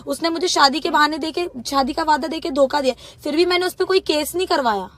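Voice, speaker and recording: A woman's voice: sits high, fast talker, a bit bright, no echo. A young woman talking informally on a recorded phone call in an agitated, pleading and insistent way. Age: young adult.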